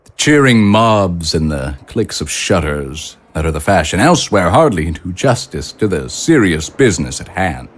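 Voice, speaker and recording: An actor playing a courtly, self-assured and smoothly authoritarian consul in an audio drama, speaking with formal, pompous politeness. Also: male voice, sits low, big pitch swings, moderate pace, full character voice.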